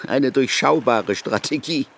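An actor in a German radio drama playing a scornful criminologist, sarcastic and accusing in a theatrical way. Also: male voice, medium pitch, creaky, fairly bright tone, slight background noise, heavily acted. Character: scornful male criminologist confronting a suspected murderer.

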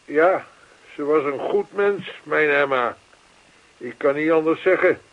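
An actor playing an earnest, lonely old widower in a radio play, talkative and old-fashioned, repeating himself. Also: male voice, a little high, measured pace, highs cut off. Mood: wistful. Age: older.

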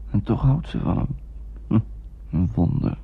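Gravelly, husky, jealous suitor. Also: male voice, on the low side, animated, a little slow, heavily acted. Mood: wry, jealous. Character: jealous, dry-witted man flirting with a woman. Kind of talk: intimate radio drama dialogue. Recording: thin, phone-like old radio recording.

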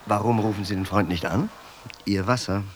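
Give me an actor as a low-voiced, enigmatic rich captor. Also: male voice, fairly even intonation, normal speed, a little hiss or hum, close to an everyday voice. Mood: needling. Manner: cool, formal, cryptic, clipped answers. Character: rich, enigmatic armed host toying with his captive guest. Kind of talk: German radio drama acting.

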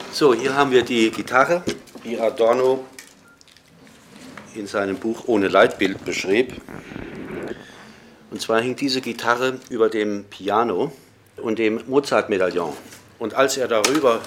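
A man with a fairly low voice talks hesitantly in an interview, often pausing on fillers. He runs a hotel, and a faint hiss sits under his voice. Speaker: hotel owner.

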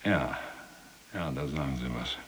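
A man's voice: extremely low pitch, unhurried, fairly bright tone, slight background noise. An actor playing a chatty middle-aged German ex-policeman in prison, talking colloquially with reluctant, ironic asides in a radio play. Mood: wry, gossipy.

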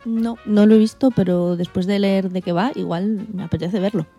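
Woman w reading out a radio script, soft dark tone, medium pitch. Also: fairly even intonation, moderate pace, soft background music. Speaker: radio co-host. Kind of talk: scripted narration read aloud.

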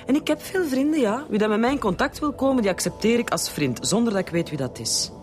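Actress, indignant Flemish married woman, animated pitch, defensive, radio drama, faint music behind. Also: fast, close to an everyday voice. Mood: defensive, exasperated. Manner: indignant, dismissive. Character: defensive, exasperated married woman questioned by police. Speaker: actor in a radio detective serial.

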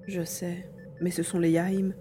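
Woman acting Karl, a low-voiced wary navigator. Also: flat delivery, unhurried, warm tone, faint music behind, lightly acted. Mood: uneasy. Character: Karl, wary young navigator grieving a dead father. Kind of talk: audio drama acting in French.

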